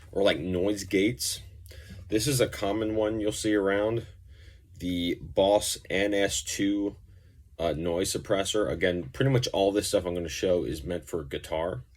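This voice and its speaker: A man giving a casual, informal gear demonstration, explaining things in a loose, rambling way as a musician and reviewer. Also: low-pitched.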